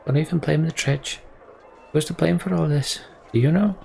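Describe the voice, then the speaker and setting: A man's voice: on the high side, moderate pace, vocal fry, darkish tone, a little hiss or hum, voice pushed up. A man voicing a grieving, defeated mother in a fantasy game, resigned and bitter. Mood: bitter grief.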